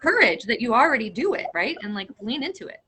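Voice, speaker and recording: A woman's voice: on the high side, sing-song, fast, pushed and bright, slightly rough. A woman guest speaking casually on a video call, filling her speech with "like" and "um". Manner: fills with "like" and "um". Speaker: guest voice teacher. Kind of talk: casual interview chat.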